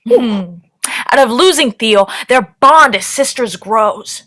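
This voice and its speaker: A woman's voice: a little high, expressive, slow, bright, forceful tone, heavily acted. A woman on stage playing a weary but playfully inventive woman sifting through fire ruins, in naturalistic stage acting. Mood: musing. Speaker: actor in a staged play reading.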